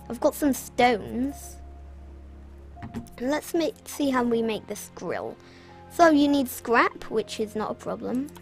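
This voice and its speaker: Chatty young British woman playing a game. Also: high-pitched. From Britain. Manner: chatty, rambling, self-mocking asides. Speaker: gaming channel host. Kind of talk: casual gameplay commentary.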